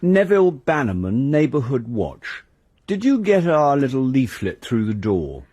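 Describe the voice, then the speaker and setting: Guy doing a chirpy officious neighbourhood watch bloke, pitched up, radio play. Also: sing-song, unhurried, dry recording. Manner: polite, over-bright, persistent.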